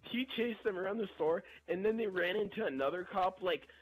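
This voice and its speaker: A young man with a fairly high, husky voice rambles through a story over gameplay. He is animated and slangy, talking casually as if to friends. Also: fairly quick, rough recording. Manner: animated, slangy, chuckling delivery. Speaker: gameplay video narrator.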